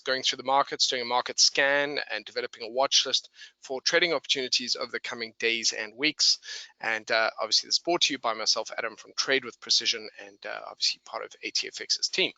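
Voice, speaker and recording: A man's voice: mid-range voice, bright, forceful tone, no echo, rough recording. A man with a mixed English and South African accent presenting a live webinar for beginners in a chatty, explanatory way, addressing listeners directly. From England and South Africa. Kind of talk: live instructional webinar, conversational.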